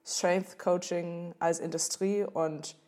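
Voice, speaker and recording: A young American woman, a native English speaker, answering interview questions in halting German, often pausing to search for words. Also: lowish voice, fairly even intonation, a little mellow. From United States. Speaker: guest.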